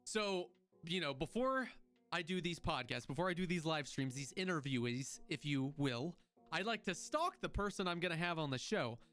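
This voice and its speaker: Joking twenty-something podcast host, slightly high voice. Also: male voice, sing-song, moderate pace, fairly bright tone, soft background music. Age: 20s. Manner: joking, rambling, restarts often. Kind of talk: casual chat.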